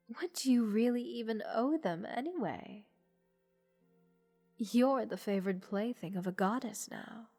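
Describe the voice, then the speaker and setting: A woman playing a haughty, bored goddess in a roleplay audio drama, condescending and teasing in a languid, breathy delivery. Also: mid-range voice, a little mellow. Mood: smug, coaxing. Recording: long reverb, music underneath. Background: orchestral music.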